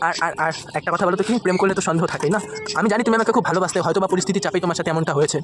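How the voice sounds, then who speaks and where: Guy on a prank call, talks super fast, plaintive and pushy, loud noise all over it. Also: sits high. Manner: insistent, questioning, plaintively reproachful. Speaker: prank caller posing as a former lover.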